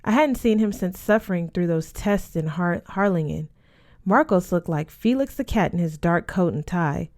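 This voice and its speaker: A woman with a slightly low voice reads a young adult novel aloud. Her delivery is scripted narration, expressive in the manner of an audiobook reader. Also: normal speed.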